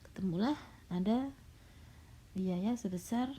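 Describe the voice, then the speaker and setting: A middle-aged woman lecturer, slightly hoarse and coughing, patiently walking students through a recorded slide lecture with frequent fillers. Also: medium pitch, takes it slowly, mellow. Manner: patient, explanatory, with frequent "nah" and "ya". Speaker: university lecturer. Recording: dull lo-fi recording.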